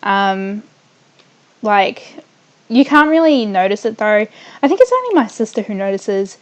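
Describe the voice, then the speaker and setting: A young woman from New Zealand with a New Zealand accent slightly softened by Australia, chatting on a casual gaming livestream and rambling off mid-sentence as she builds. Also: medium pitch, sing-song, a little slow, rough recording. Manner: hums and makes small random noises while working. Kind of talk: casual livestream chat while building in a game.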